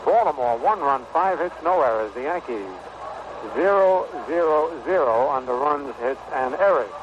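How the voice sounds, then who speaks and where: An American man calling baseball play-by-play in 1960s radio style, in measured, short phrases with pauses. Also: on the high side, expressive. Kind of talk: 1960s radio sports commentary. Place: stadium broadcast booth. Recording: noisy dull vintage 1960 radio broadcast.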